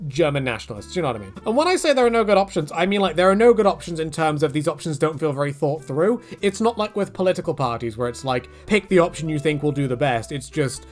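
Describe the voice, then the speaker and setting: A man casually answering viewer questions in a rambling, animated way, his pitch jumping up whenever he slips into quoted asides. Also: fast talker, few pauses, soft background music. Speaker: YouTube creator answering fan questions. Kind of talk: casual Q&A monologue.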